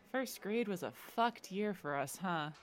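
A woman playing a sardonic teenage high school soccer player in an audio drama, dry and blunt. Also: expressive, slow pace, slight background noise. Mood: wry teasing. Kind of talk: naturalistic audio drama acting.

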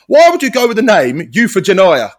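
A man's voice: high-pitched, huge pitch swings, a little fast. A man in casual comedy chat, sweary and rambling, laughing often and restarting his sentences.

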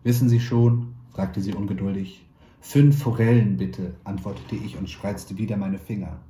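A man reading a short story aloud in a calm, measured way, lightly voicing the dialogue. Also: lowish voice, roomy sound, a little hiss or hum. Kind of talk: literary reading.

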